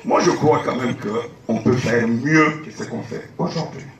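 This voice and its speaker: A man's voice: mid-range voice, measured pace, a little hiss or hum. A man from the Democratic Republic of the Congo, giving argumentative debate answers with rhetorical questions on an outdoor tent stage. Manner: argumentative, rhetorical questions, repeats key points. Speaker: candidate for party president. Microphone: phone recording from the audience. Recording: echoey, distant phone recording.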